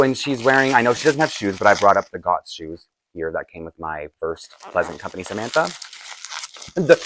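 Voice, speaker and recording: Male unboxing vlogger, deep groggy voice, informal rambling delivery with frequent self-interruptions. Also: background noise. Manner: chatty, self-interrupting asides, chuckling. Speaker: doll collector and unboxing vlogger. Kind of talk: casual rambling unboxing chat.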